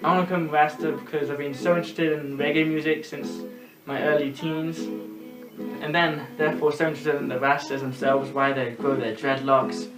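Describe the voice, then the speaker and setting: A man's voice: fairly high pitch, level tone, moderate pace, low-quality recording. A man giving an earnest personal statement in simple, sincere phrasing. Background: guitar music.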